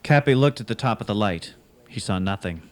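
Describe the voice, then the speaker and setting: An actor playing a grave, dramatic narrator of a ghostly sea tale in a scripted radio drama. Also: male voice, on the low side, expressive, fairly quick, heavy background noise, natural voice. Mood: ominous, measured.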